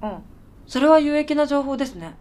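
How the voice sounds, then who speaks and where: A woman's voice: high voice, animated, fairly quick, a little mellow. Woman acting a polite but blunt tired woman. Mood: irritated, blunt. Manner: polite, dry, matter-of-fact. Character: polite, puzzled, tired young woman with quietly blunt streak. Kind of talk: Japanese radio drama dialogue.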